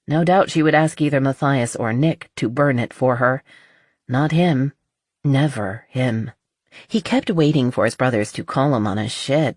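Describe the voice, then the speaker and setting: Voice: mid-range voice, dry recording, clean studio sound. An audiobook narrator reading scripted romance fiction.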